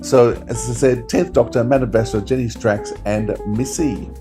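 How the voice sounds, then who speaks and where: A man's voice: medium pitch, steady tone, normal speed, mellow. A man hosting a podcast, talking casually through a review. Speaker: podcast host. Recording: music playing behind.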